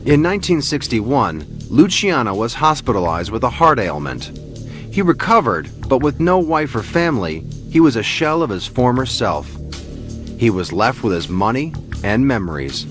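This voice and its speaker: A man narrating a documentary script in a measured, dramatic way. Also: mid-range voice, sing-song, faint music behind.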